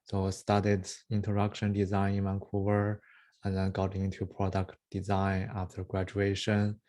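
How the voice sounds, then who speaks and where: Man, low-ish voice, flat delivery, brief, video call. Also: moderate pace. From China. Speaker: mentor. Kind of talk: self-introduction on a video call.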